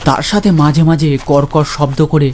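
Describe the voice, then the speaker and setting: A man's voice: a little high, level tone, moderate pace, a little mellow, a little hiss or hum. A man narrating a scripted ghost story in a dramatic storytelling style.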